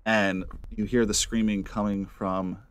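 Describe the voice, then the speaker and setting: A man acting as game master, narrating a tabletop game casually with halting phrasing and asides. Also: lowish voice, little pitch movement, clean tone, dry recording.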